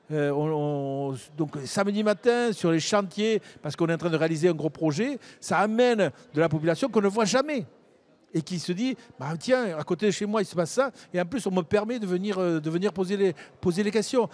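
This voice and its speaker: A man speaking as a local elected official on a panel, haltingly, repeating words as he searches for the next. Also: sits high, darkish tone. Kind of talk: panel discussion, unscripted.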